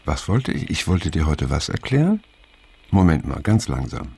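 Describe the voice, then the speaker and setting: An actor playing a weary, reflective older man in an introspective audio drama, with a gravelly voice and an unhurried manner. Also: rumbling low, very animated, dark tone, exaggerated voice. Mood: bewildered. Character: weary, unsettled older man looking back on his youth. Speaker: narrator and main character. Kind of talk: introspective audio drama narration and phone dialogue.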